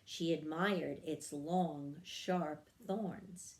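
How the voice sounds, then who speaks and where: A woman reading a children's story aloud in a measured, expressive storytelling manner. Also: low voice. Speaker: story reader. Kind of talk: scripted children's storybook narration.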